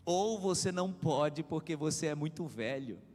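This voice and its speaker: Male pastor, emphatic repeated refrains, raised pitch, echoing hall. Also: expressive, moderate pace, darkish tone. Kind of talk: sermon. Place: church hall. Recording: reverberant church hall.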